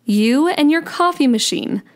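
A woman playing a playful, sweet young daughter of Cupid in an ASMR romance roleplay, coy and gently teasing. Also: high-pitched, lively intonation, measured pace. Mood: warm, playful.

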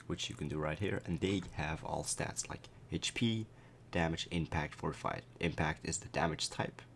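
Young man, very low strained voice, cracking, laid-back game explainer, faint hiss behind. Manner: relaxed, explanatory, many fillers. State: voice cracking after a concert. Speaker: gameplay commentator. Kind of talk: casual gameplay commentary.

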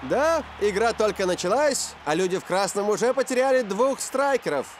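A man voicing an excitable, theatrical football match commentator in a cartoon. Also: sits high, normal speed, noisy background. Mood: excited. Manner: theatrical, emphatic. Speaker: cartoon voice actor. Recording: music behind the voice.

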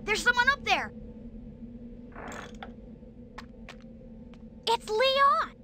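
A performer voicing an earnest, gentle twelve-year-old boy hero in a cartoon game. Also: very high voice, big pitch swings, measured pace, slight background noise, exaggerated voice. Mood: urgent. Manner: youthful, earnest.

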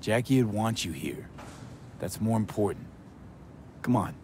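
A man playing a young street mercenary in a video game, blunt but caring, with a terse, casual, low-key delivery. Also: expressive, unhurried, a little mellow, a little hiss or hum. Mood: wry, reflective. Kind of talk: naturalistic video game acting. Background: music in the background.

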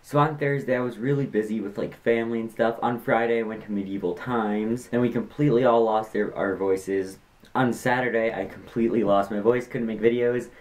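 A teenage boy hosting his own vlog from a small bedroom, chatting casually to the camera at his desk. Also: fairly low pitch. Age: teens. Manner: chatty, reads jokes aloud in mock voices. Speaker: YouTuber host. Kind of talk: casual vlog reading comments.

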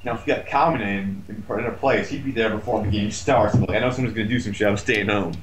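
A man's voice: lowish voice, fairly even intonation, quick pace, a little hiss or hum, poor audio. A man chatting casually about a video game, complaining in a sardonic, foul-mouthed way.

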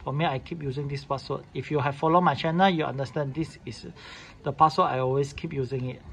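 A man's voice: mid-range voice, fairly even intonation, quick pace, a bit bright. A man gives an informal, unscripted step-by-step device demonstration in clipped, repetitive phrasing. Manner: repetitive clipped phrasing in non-standard English. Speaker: tutorial host showing a device setup. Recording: clearly noisy room recording.

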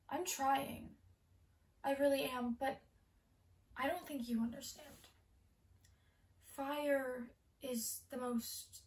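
Actress as hushed female pyromaniac, slow, halting, wistfully obsessed, stage monologue, distant mic. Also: high-pitched. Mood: pleading, enraptured. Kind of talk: theatrical monologue. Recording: distant camera microphone in a room.

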